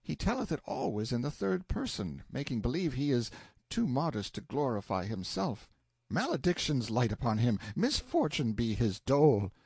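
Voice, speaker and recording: A man's voice: medium pitch, very animated, normal speed, creaky, a little mellow, husky, dry recording, studio quality, heavily acted. A man voicing a weary, mocking young page boy in an audiobook, sighing and complaining theatrically in archaic English. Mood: weary exasperation. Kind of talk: audiobook character voice.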